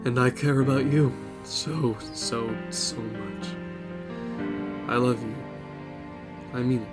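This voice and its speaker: A man's voice: medium pitch, fairly even intonation, slow, natural voice. A young man acting in an audio drama as a conflicted, remorseful boyfriend, speaking earnestly and apologetically. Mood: sorrowful, tender. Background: plucked guitar music.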